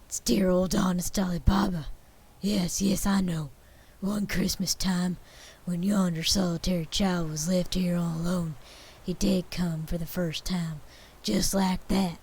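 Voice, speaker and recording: Woman doing a breathy, excited old Scrooge. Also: on the low side, measured pace, few pauses. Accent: Southern US. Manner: excited, breaking into whispers. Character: old miserly man, overcome by childhood memories. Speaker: reader.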